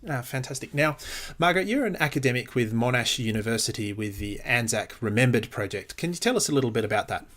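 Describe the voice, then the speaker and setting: A man hosts a podcast and interviews a guest in a casual, hesitant way. He falls back on ums and restarts, and his voice sits at an ordinary middle pitch. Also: expressive, quick pace, a bit bright. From Australia. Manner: hesitant, many ums and repeated words. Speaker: podcast host.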